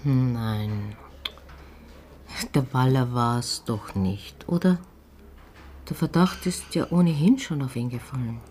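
An actor playing a world-weary theatre colleague sharing secrets in a radio drama, confiding and sardonic in a deliberate way. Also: male voice, medium pitch, a little mellow, slight background noise, lightly acted. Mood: conspiratorial.

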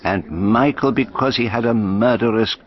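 An actor playing a shrewd, observant detective, narrating his suspicions in a measured, reflective way in a radio drama. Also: lowish voice, close to an everyday voice. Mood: musing. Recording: slightly dull broadcast radio recording.

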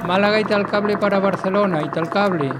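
An actor playing a brisk Republican telegraph operator in a radio drama. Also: male voice, high-pitched, steady tone, heavy background noise, lightly acted. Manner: brisk, formal, radio procedure.

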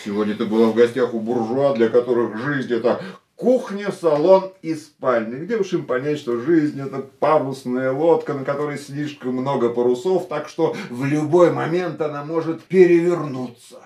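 An actor playing an ardent, reflective racing driver in a radio drama, speaking in an intimate, searching, romantic manner. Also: male voice, mid-range voice, moderate pace, hardly any pauses, a little mellow, natural voice. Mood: animated, reflective. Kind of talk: radio play acting.

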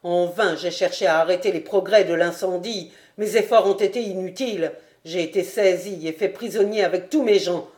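Woman narrator voicing a dignified lieutenant defending his honour, firm and loud. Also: lowish voice, normal speed, lightly acted. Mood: composed. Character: steadfast male lieutenant, cool then indignant.

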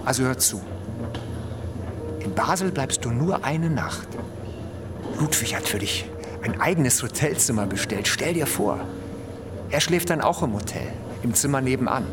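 A man playing a caring, worried father in a radio drama, speaking earnestly and gently to his child. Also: medium pitch, expressive, unhurried, pauses often, music in the background, natural voice. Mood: urgent, reassuring. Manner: tender, earnest, instructing. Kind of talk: German radio drama acting. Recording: loud train-ride noise behind.

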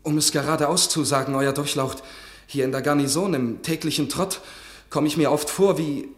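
A man's voice: on the high side, normal speed, close to an everyday voice. A young actor in his twenties playing an earnest young regimental doctor who pleads respectfully before his ruler in a radio drama. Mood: pleading. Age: 20s. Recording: roomy studio echo.

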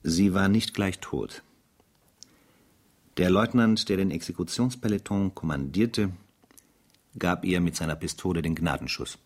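An actor playing a sober, dutiful French army captain in a radio drama, speaking in a measured, grave and formal manner. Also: male voice, low-pitched. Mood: sombre, factual. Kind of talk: radio drama narration in diary form.

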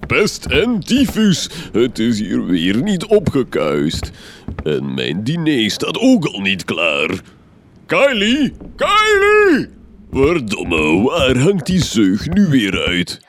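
A man's voice: mid-range voice, huge pitch swings, slow pace, slight background noise, heavily acted. Cruel, wildly swooping tyrant father. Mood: furious. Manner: menacing, florid, cursing. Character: cruel, domineering father, a sinister village doctor. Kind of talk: radio horror comedy acting. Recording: loud music behind.

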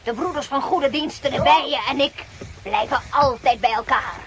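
A loyal talking wolf played by a woman, polite, measured and secretive, in a radio drama. The voice is fairly high, on a noisy old recording. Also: natural voice. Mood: matter-of-fact. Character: courteous talking wolf, loyal servant to brothers.

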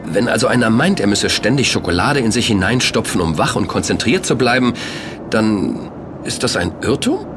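An actor playing a sceptical, excitable man who protests in colloquial, incredulous dialogue in an audio drama. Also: fairly low pitch, moderate pace, over music. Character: sceptical, excitable male sugar lover named Kim.

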